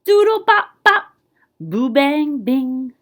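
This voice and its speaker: A woman reads a children's picture book aloud in the role of a librarian. Her delivery is animated and playful, with a widely moving pitch. She steps out of the story to chat to her listeners. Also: takes it slowly. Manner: animated, playful with scat-like sound words. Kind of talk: expressive children's read-aloud with asides to listeners.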